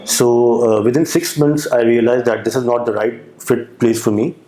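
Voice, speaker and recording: A young man with a Pakistani accent, answering interview questions with a hesitant manner, full of pauses and restarts. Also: mid-range voice, mellow, echoey room, poor audio. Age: young adult. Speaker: guest entrepreneur.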